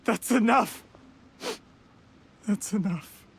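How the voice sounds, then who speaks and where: A man's voice: sits high, very slow, a little hiss or hum, full character voice. An actor playing a cornered, self-justifying male schemer in a video game, earnest and strained. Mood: desperate. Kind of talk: dramatic video game voice acting.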